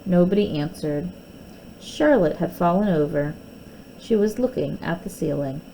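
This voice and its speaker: Expressive woman reading a children's story. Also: on the low side, a little slow, creaky, slight background noise, poor audio. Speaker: storybook reader. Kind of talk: children's story read aloud.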